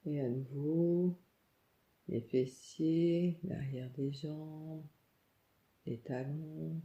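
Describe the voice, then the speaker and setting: A woman leads a guided relaxation meditation, calm and soothing, giving gentle instructions in short phrases with pauses. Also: sits low. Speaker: yoga nidra meditation guide. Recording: muffled, echoey room sound.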